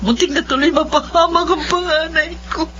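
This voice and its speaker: A woman's voice: very high voice, steady tone, moderate pace, slight background noise. An actress playing a betrayed middle-aged mother in a melodramatic, tearful radio drama. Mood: ashamed, remorseful. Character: betrayed middle-aged mother, emotional, enraged then remorseful. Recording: muffled radio drama with loud music.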